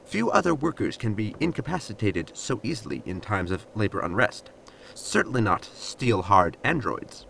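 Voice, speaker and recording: A man's voice: on the low side, very animated, normal speed, vocal fry, fairly bright tone, a little hiss or hum, full character voice. A man playing a blunt, literal android servant in a sci-fi comedy audio drama, formal and matter-of-fact. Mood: matter-of-fact explaining.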